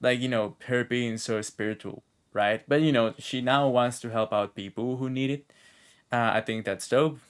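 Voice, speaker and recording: A man's voice: medium pitch, moderate pace, clean tone. A man chatting and rambling as he thinks aloud, commentating casually on a video game he is playing. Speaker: game streamer. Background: video game music and dialogue.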